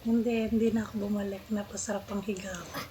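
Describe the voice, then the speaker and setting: Female streamer, Filipino accent, medium pitch, off-the-cuff storytelling, clearly noisy crinkly recording. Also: little pitch movement, quick pace, dark tone. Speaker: game streamer. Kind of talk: casual stream chat, storytelling. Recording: clear background noise, crinkling.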